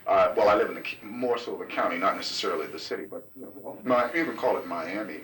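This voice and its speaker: A man of thirty being interviewed, answering in a deliberate, hesitant way with many pauses and fillers. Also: fairly low pitch, fairly bright tone. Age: 30s. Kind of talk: casual oral-history interview. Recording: roomy, distant, noisy tape recording.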